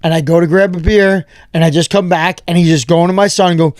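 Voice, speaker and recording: A man's voice: fairly high pitch, steady tone, quick pace, darkish tone, dry recording, clean studio sound. A man in his thirties co-hosting a podcast, ranting bluntly and swearing freely. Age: 30s. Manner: blunt, profane, emphatic ranting. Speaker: podcast co-host. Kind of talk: casual chat.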